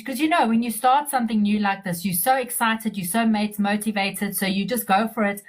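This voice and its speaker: Blunt, outspoken woman in casual talk. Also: medium pitch. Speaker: digital marketer. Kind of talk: casual conversation.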